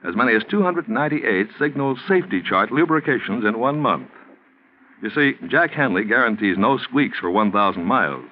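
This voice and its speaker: A man announcing in 1940s radio style, delivering a sponsor pitch with formal, polished salesmanship. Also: expressive, normal speed, slight background noise. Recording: muffled 1940s radio recording, faint music.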